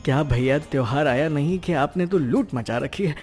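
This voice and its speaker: Guy doing a humble poor dad for a cartoon story, mellow voice, polite n worried, faint music under it. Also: a little high, normal speed, lightly acted.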